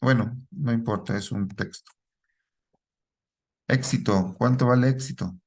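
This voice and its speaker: A man's voice: medium pitch, a little slow, husky. Man teaching a programming class. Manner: asks the class questions and repeats their answers, ends lines with a checking "¿sí?". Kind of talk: live classroom lesson.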